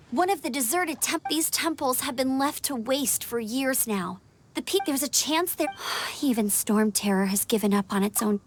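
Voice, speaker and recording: High-voiced plucky girl scout. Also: a little hiss or hum. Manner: earnest, youthful, expressive. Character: plucky, eager young female scout, girlish and earnest. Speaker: scout knight character. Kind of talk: video game voice acting.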